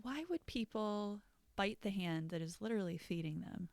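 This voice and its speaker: A woman's voice: mid-range voice, normal speed, gravelly, a little mellow, no room sound. A woman hosting a podcast, asking curious questions and reacting supportively in casual conversation. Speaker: podcast host. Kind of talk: casual interview.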